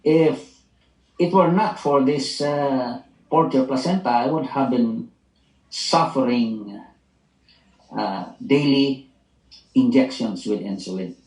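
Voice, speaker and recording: Guy in his sixties, doctor giving a testimonial, slow and halting with lots of uhs, echoey room. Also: mid-range voice, darkish tone. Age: 60s. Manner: halting, many uh fillers. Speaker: doctor and university teacher. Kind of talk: product testimonial.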